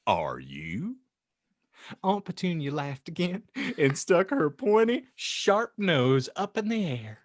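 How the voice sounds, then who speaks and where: Animated man narrating, slow and dry-miked. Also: high voice, dry recording. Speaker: podcast host and audiobook reader. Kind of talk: comic dramatic narration and banter.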